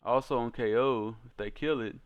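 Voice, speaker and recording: A man's voice: mid-range voice, moderate pace, dull audio. A man hosting a card game channel, talking through a deck in a casual, informal way with slangy turns of phrase.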